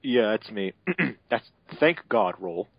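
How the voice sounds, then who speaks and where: A man's voice: lowish voice, expressive, takes it slowly, vocal fry, no room sound. A man narrating an amateur mocking dramatic reading of fanfiction, breaking into sardonic asides. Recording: dull, lo-fi home mic.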